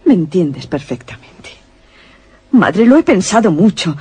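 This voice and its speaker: A woman's voice: on the low side, big pitch swings, measured pace, a little mellow, slightly rough, no echo, exaggerated voice. A woman playing a defiant, anguished young daughter in a radio horror drama, emotional and theatrical.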